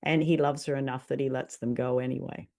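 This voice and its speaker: A woman's voice: very deep voice, steady tone, fairly quick, creaky, a little mellow. A woman co-hosting a show, talking casually about a classic film. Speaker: podcast co-host.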